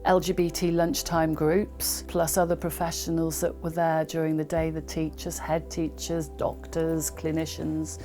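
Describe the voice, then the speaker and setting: A woman's voice: sits low, flat delivery, measured pace, few pauses, dark tone, faint music behind. A woman who works with young people at a charity, explaining its activities as if interviewed. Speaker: charity youth worker.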